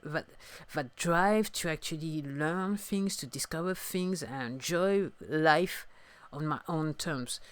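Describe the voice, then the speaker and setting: A woman in her fifties from France, with a French accent and French as her first language, talking reflectively on her own podcast. She talks haltingly, searching for words as she goes. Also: fairly low pitch, dry recording. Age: 50s. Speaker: singer-songwriter podcaster. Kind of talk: reflective solo podcast talk.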